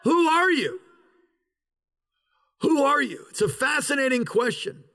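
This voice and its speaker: Man, pastor, outdoor parking-lot sermon, high voice, dramatic pauses. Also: big pitch swings, sharp tone. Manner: emphatic, dramatic drawn-out pauses. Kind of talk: sermon with opening prayer.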